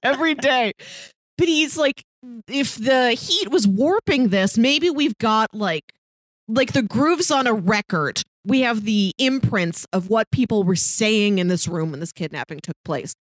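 A woman's voice: fairly high pitch, lively intonation, measured pace, no room sound. A woman co-hosting a podcast, casually recapping a story and acting out quotes as she retells it. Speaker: podcast co-host.

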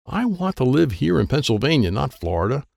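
A man's voice: mid-range voice, sing-song, a little fast, gravelly, a little mellow, no room sound, pitched above the performer's own voice. A man voicing a stubborn, bossy, sporty older girl in a children's audiobook. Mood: indignant, upset. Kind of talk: children's audiobook character dialogue.

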